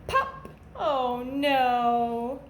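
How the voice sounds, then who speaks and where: A woman reads to young children in the manner of a teacher, with a high voice that swings widely up and down. She takes her time and speaks to her listeners directly in simple phrases. The room is noticeably echoey. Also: sing-song, very slow. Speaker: teacher reading a picture book to young children. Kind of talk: children's read-aloud, with sung refrains and asides to listeners. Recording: echoey room with faint background noise.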